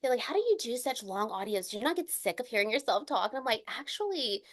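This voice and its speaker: A woman with a light Southern American accent, a voice actor chatting as a podcast guest, bubbly and quick to laugh. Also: on the high side, sing-song. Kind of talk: casual podcast chat.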